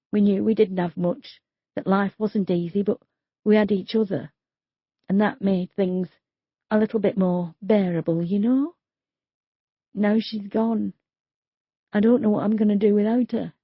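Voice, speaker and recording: A woman's voice: mid-range voice, fairly even intonation, moderate pace, pauses often, breathy edge, dry recording, highs cut off. A woman reading the lines of a grieving, bitter working-class young man who swears and talks in a confrontational way.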